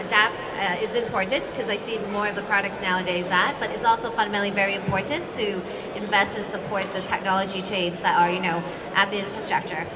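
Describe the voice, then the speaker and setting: A woman investor speaking informally and haltingly as a panelist and moderator, searching for words. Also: mid-range voice. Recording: distant mic, noisy room.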